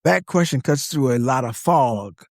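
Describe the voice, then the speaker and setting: A man's voice: mid-range voice, expressive, measured pace, vocal fry, no room sound, clean studio sound. A man co-hosting a podcast, giving scripted, conversational commentary in short, wry statements. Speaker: podcast co-host.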